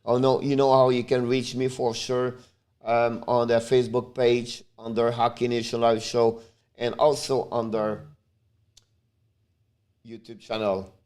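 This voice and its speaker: A man from Montreal whose first language is French, speaking English with a Quebec French accent as he hosts a casual live stream, calling the game and chatting with viewers, with loud sudden outbursts. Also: medium pitch, little pitch movement, a little slow. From Montreal, Canada. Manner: talks straight to viewers. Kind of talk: casual live play-by-play and chat.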